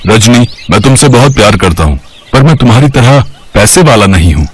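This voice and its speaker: A man playing a scheming young lover and abusive husband in a melodramatic cartoon story, husky and sly. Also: medium pitch, expressive, fairly quick, no echo. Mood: sly persuasion.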